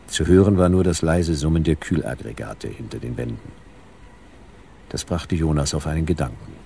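An actor playing a laconic, world-weary detective who narrates a hardboiled radio drama in a deadpan, gravelly voice. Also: male voice, rumbling low, slight background noise. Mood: hushed, laconic. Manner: deadpan, terse. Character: laconic, world-weary male detective telling his story.

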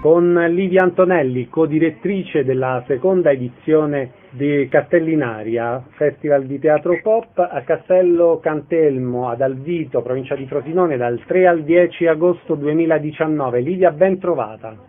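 A man's voice: on the high side, normal speed, few pauses, faint music behind. A man hosting a radio interview, courteously asking questions and giving orderly, formal announcements. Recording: narrow phone-like radio sound.

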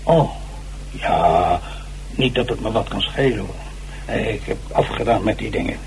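Theatrical murder suspect, hissy radio drama. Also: male voice, fairly low pitch, gravelly, darkish tone, rough recording. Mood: resigned, grave. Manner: theatrical, halting, self-dramatising. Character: ageing male actor jailed for murder, theatrical, self-dramatising. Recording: hissy old radio recording.

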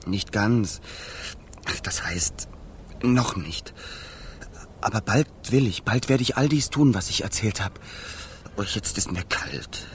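An actor in a children's radio play voicing the Wind as a frozen, weary, timid wanderer, halting and plaintive with sighing, breathy speech. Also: male voice, fairly low pitch. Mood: sheepish. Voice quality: breathy, husky.

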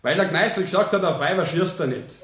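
A blunt Bavarian convict, acted by a man answers in a radio play with brazen defiance. His voice is fairly high for a man and echoes in the room. His replies are clipped and unrepentant. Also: brisk, a bit bright, lightly acted. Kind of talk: German radio play courtroom acting. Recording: echoey 1970s radio drama studio.

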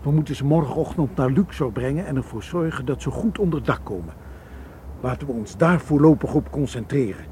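An actor playing a careful, level-headed Egyptian tour guide in a radio drama, measured and reassuring. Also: male voice, medium pitch, lively intonation, a little mellow, close to an everyday voice. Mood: calm, reassuring. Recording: noisy old radio play recording.

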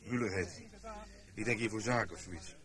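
A man performs a policeman in a radio drama with a low voice. He is firm and commanding, yet courteous in an official way. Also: normal speed, over a noisy crowd, natural voice. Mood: polite, official. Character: authoritative, polite policeman at accident scene. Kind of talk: radio play acting.

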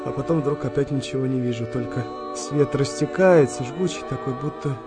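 An actor playing a shell-shocked, brooding young British sailor in a wartime audio drama, speaking in halting, emotional monologue. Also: male voice, mid-range voice, natural voice. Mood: dazed, bitter. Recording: music under the voice.

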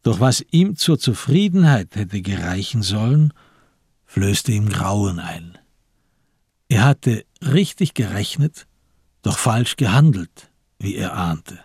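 A man narrating a literary radio drama in a measured, grave storytelling manner. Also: on the low side, sing-song, a little mellow, slightly rough, dry recording, studio quality.

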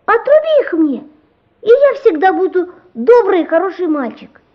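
A woman playing an anguished, pleading little boy in a radio play, earnest and frightened, speaking in emotional, halting phrases. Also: very high voice, big pitch swings, lo-fi sound. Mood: pleading, tearful. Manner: emotional, halting, breathless whispers and cries.

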